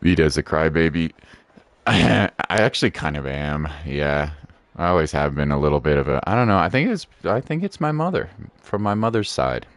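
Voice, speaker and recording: Male game streamer, low voice, casual and jokey rambling, dry close sound, faint music. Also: expressive, moderate pace, no echo. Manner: rambling, joking, slangy. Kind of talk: casual live gaming commentary.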